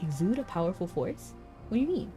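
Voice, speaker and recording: A woman's voice: lowish voice, very animated, brisk, warm tone. A woman streamer giving casual, playful running commentary on a game story in a chatty, slangy way. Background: faint game music.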